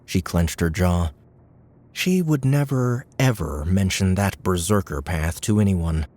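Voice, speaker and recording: Male narrator for a sci-fi audiobook: deep voice, scripted storytelling, measured dramatic delivery. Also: expressive, a little mellow.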